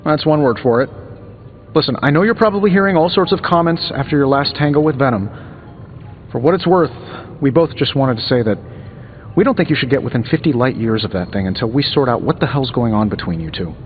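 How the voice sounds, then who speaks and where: A man's voice: medium pitch, animated, fairly quick, phone-quality sound, close to an everyday voice. Male actor as wisecracking American tech-genius superhero for a video game. Glib and sardonic; reverberant, music behind. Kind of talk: video game dialogue acting.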